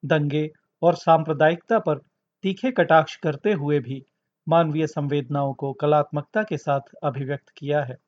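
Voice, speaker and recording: A man with a slightly high-pitched voice presents a story programme as its host, reading from a script. The recording is dull and lo-fi. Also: darkish tone. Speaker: podcast host introducing a story. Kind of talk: scripted introduction, narration.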